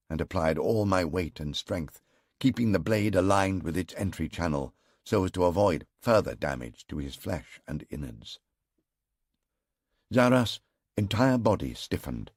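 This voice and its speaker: A man reads a first-person audiobook in a slow, grave, measured manner. His voice sits very low and has a husky grain, yet his pitch moves a great deal. The booth sound is dry and close. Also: darkish tone, no echo. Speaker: audiobook narrator.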